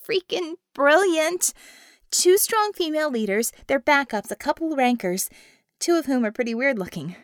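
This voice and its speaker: A brash female team leader from an audiobook adventure, acted out by a woman narrator. Her voice is lively and fairly high, swinging widely as she exclaims. She is impatient and sardonic, driving each point home. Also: moderate pace, no room sound, studio quality. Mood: sardonic glee.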